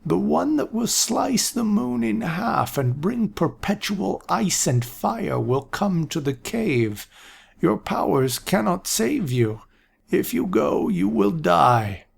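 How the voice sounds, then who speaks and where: A doddering old seer who loses track of his thoughts, pitched well above the narrator's own voice. His rasp is gravelly and husky, and his words come out slowly and vaguely. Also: huge pitch swings, full character voice. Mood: muddled. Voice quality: raspy. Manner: slow, vague, repeats himself. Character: doddering old male seer, confused and forgetful. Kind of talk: audiobook character voice.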